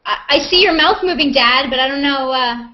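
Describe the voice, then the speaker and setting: A woman hosting an online group hangout, chatting casually and informally and reading aloud in an animated way. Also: high-pitched, brisk. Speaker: host of a video hangout, actress. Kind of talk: casual video-call chat and reading comments aloud. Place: online group video call. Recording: dull, lo-fi video call audio.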